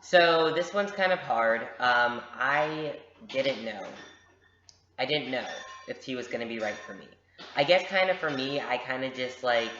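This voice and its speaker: A man's voice: mid-range voice, fairly bright tone, echoey room, highs cut off. A young man answering viewers' questions in a casual vlog, frank and direct, with lots of fillers. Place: home room with a pet bird. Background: bird noises.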